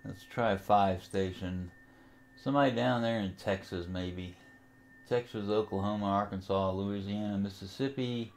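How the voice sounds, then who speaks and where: A man with a fairly low voice talks through a software tutorial in a casual way. He works without a script, pausing and hesitating as he thinks aloud about each step. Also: steady tone, a little hiss or hum. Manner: hesitant, unscripted, thinking aloud while operating. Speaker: amateur radio enthusiast, tutorial host.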